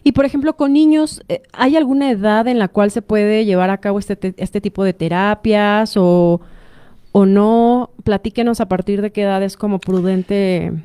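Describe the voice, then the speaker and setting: A woman's voice: mid-range voice, fairly even intonation, normal speed, dark tone, clear, resonant tone, no echo, clean studio sound. A woman hosting a radio interview, chatty and engaged, with frequent affirming interjections. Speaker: radio host.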